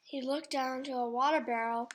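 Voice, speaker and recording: A woman reading a storybook aloud to young children in a deliberate manner. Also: high-pitched, steady tone. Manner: deliberate, addresses child listeners. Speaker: storybook reader. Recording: faint background noise.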